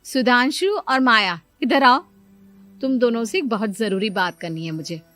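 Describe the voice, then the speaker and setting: A woman's voice: a little high, moderate pace, a bit bright, lightly acted. A woman voicing a greedy young mother in a moral story, sweetly persuasive and insistent, with music under her voice. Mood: coaxing. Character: scheming, greedy sister-in-law, a young mother. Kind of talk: Hindi moral story character voice.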